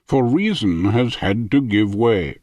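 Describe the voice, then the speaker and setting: A man narrating a philosophical audiobook in a formal, measured manner. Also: on the low side, expressive, darkish tone, dry recording. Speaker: audiobook reader.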